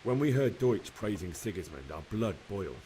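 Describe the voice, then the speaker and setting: An actor playing a young blacksmith's son in a video game, earnestly and sorrowfully recounting a massacre. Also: male voice, lowish voice, sing-song, moderate pace, mellow, slight background noise, low-quality recording. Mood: rueful recounting. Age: young adult. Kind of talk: dramatic game cutscene acting.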